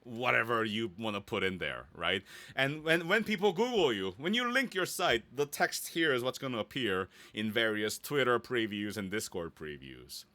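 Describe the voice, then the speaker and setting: A man with a bright, forceful voice talks through an informal how-to session. His pitch moves around a lot as he chats playfully and drops in little silly interjections. The sound is close and dry, like a home studio microphone. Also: big pitch swings, moderate pace, no echo. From Korea or Korean heritage, based in Los Angeles. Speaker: voice actor leading a website tutorial. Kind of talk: casual live screen-share tutorial.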